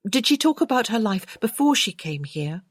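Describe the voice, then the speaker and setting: A woman voicing a bright, keen, polite young gentlewoman in an audiobook. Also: medium pitch, very animated, moderate pace, breathy edge, no room sound, studio quality, pitched above the performer's own voice. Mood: curious.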